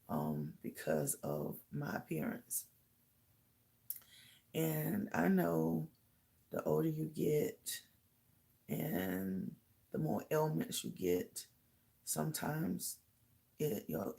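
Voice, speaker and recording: A middle-aged woman with a slightly raspy voice chatting casually to live viewers as host, halting and pausing as she goes. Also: sits low, warm tone. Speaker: host of a livestream talk channel.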